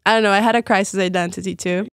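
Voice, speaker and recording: A woman's voice: medium pitch, fairly even intonation, very fast, no echo, clean studio sound. A young woman from Brazil with a Brazilian Portuguese accent, chatting as a podcast guest, with lots of fillers and laughter. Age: young adult. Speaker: guest, former student. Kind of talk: casual podcast chat.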